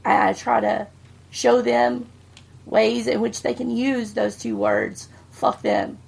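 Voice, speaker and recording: A woman's voice: on the high side, expressive, takes it slowly, gravelly, slightly rough. A middle-aged woman, chatting bluntly as a podcast co-host and swearing freely. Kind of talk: casual chat.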